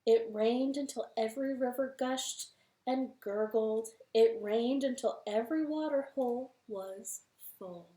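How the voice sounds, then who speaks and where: A woman's voice: a little high, measured pace, warm tone, reverberant, a little hiss or hum. A woman hosting a library storytime, reading to children in a cheerful, sing-song way from her living room.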